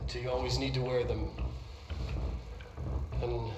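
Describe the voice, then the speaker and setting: A man's voice: medium pitch, level tone, a little slow, close to an everyday voice. Man playing an awkward scientist, echoey room. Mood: awkward, dry. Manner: hesitant, rambling, awkwardly dry. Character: awkward, nervy male scientist giving safety briefings. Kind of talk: halting, informal scripted briefing. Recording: roomy echo with clear background noise.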